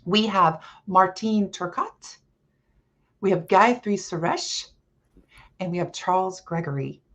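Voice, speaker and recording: A middle-aged woman hosting a live online panel as a coach, speaking in a friendly, measured way with some ums, over a video call. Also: on the low side. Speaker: host and coach.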